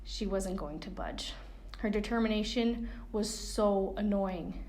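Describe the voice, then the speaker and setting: A woman's voice: mid-range voice, unhurried, a little mellow, a little hiss or hum, poor audio. A woman reading a first-person coming-of-age novel excerpt as narrator. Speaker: reader of a book excerpt.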